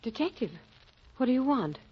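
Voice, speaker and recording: An actress playing a cold, indignant, haughty bride with a curt manner in a 1940s radio drama. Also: female voice, high voice, big pitch swings, normal speed, slightly rough, heavily acted. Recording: muffled, noisy 1940s radio recording.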